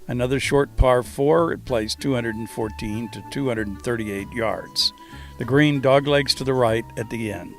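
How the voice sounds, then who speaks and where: A man's voice: medium pitch, creaky. Man narrating over music. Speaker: host of a golf course review. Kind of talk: scripted tour narration.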